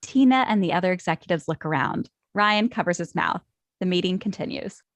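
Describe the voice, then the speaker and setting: A woman's voice: lowish voice, animated, brisk, no room sound. A woman narrating script stage directions in a matter-of-fact way.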